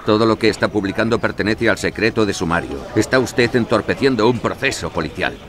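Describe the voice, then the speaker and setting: A man's voice: lowish voice, a little fast, noisy background, lightly acted. An actor playing a blunt, hot-tempered male police commander in an audio drama. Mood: angry, stern. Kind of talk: audio fiction acting.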